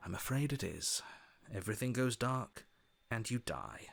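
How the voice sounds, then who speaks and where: A man playing a stern British narrator of a dark fantasy game, speaking in a measured, solemn way. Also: medium pitch, sing-song, vocal fry, faint music behind. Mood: grave, resigned. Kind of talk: storybook narration in a visual novel game.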